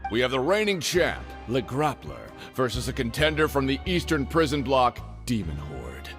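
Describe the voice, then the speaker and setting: An actor voicing a condescending ticket seller at a fight arena in a video game. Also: male voice, medium pitch, huge pitch swings, moderate pace, a bit bright. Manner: condescending, salesman-like. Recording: game audio with music. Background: game music.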